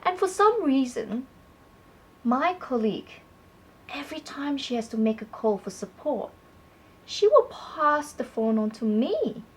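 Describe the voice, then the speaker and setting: A woman co-hosting a talk segment, speaking clearly and prompting her partner with questions. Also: sits high, big pitch swings, a little slow. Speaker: co-host. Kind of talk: scripted conversational presenting.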